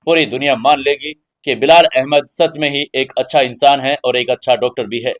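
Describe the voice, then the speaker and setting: A man's voice: mid-range voice, fairly even intonation, moderate pace. A man hosting and narrating an audio story in a dramatic storytelling style.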